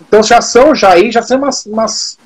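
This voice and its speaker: A man in his forties with a Brazilian Portuguese accent and a husky, fairly high voice. He speaks in a reflective, unhurried way, often restarting his sentences and filling pauses as he thinks aloud during an interview. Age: 40s. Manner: thoughtful, many fillers and restarts, reflective. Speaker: music teacher and guest musician. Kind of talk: casual interview talk.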